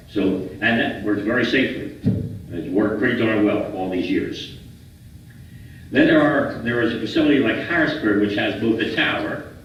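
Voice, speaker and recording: Older american guy in his 70s giving a club talk, low voice, really echoey room, keeps restarting phrases. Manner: halting, repeats and restarts phrases. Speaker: guest speaker, retired air traffic controller. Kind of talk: informal lecture to a club. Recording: roomy, distant, dull, faintly noisy hall recording.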